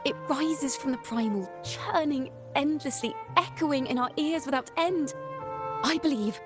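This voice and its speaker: A woman playing a thoughtful, earnest young traveling companion in a video game, speaking in a lyrical, measured way. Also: high voice, over music. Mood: inspired, wondering. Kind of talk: scripted video game dialogue.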